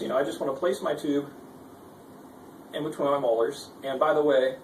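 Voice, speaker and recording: A man giving a casual, informal instructional demo, speaking hesitantly and with some self-deprecation. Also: medium pitch. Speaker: guitarist giving a gear demonstration. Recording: roomy, distant mic with background noise.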